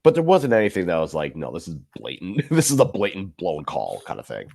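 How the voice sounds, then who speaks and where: A man with a very deep, low voice talks football in relaxed, measured chat. The sound is dry and close, with no room around it. He acts out reactions as little quotes. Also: vocal fry, dry recording. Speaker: podcast co-host. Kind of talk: casual sports chat.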